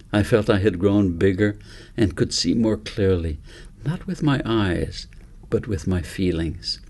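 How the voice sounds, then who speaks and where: A man's voice: on the low side, mellow. A man narrating a story as an author reading his own book aloud.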